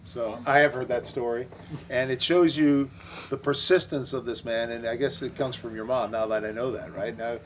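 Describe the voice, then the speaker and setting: A man's voice: mid-range voice, fairly even intonation, normal speed, a little hiss or hum. A man hosting an interview and calling on students for questions, in an upbeat way. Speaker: host.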